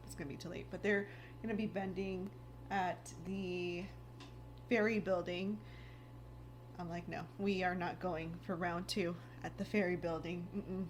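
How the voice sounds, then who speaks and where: A woman in her thirties chatting casually at home in a rambling vlog, full of "like" fillers, her throat a little raspy. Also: medium pitch, a little hiss or hum. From United States. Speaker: lifestyle vlogger. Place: home, indoors.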